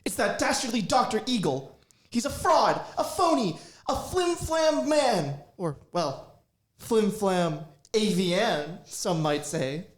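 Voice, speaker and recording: A woman's voice: mid-range voice, expressive, takes it slowly. A woman playing a quippy, indignant young student. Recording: echoey room, faint noise.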